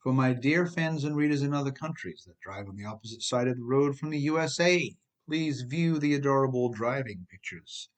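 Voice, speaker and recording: Middle-aged American man narrating an audiobook. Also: medium pitch. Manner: emphatic, addresses listener with rhetorical questions. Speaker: author reading own audiobook. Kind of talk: scripted audiobook narration with conversational asides.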